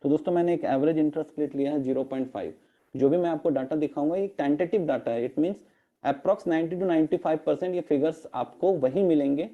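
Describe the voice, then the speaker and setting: A man with a hoarse throat walking his viewers through interest figures on a spreadsheet, often calling them friends. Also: fairly high pitch, moderate pace, dull audio. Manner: repeated "friends" address, mixes English terms into Hindi. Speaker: crypto earnings explainer. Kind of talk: screen-share walkthrough of a spreadsheet. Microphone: online meeting audio.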